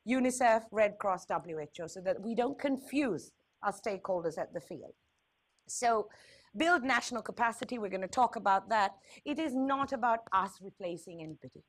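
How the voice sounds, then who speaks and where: A woman's voice: medium pitch, lively intonation, normal speed. A woman with a Sri Lankan accent presenting at a conference, candid and wry, stressing points with repetition and checking in with short tag questions. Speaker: health agency speaker, risk communication expert.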